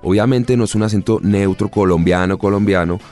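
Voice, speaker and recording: A man's voice: on the low side, brisk, darkish tone, soft background music. A man in his thirties with a Spanish accent, an actor answering interview questions in an easygoing, chatty way. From Spain. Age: 30s. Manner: easygoing, chatty, with frequent restarts. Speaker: actor guest.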